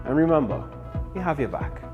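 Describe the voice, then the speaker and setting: A man reading a promotional ad for a therapy clinic in a measured delivery. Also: a little high, lively intonation, phone-quality sound. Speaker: clinic ad announcer. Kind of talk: read advertisement. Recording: ad voice over music.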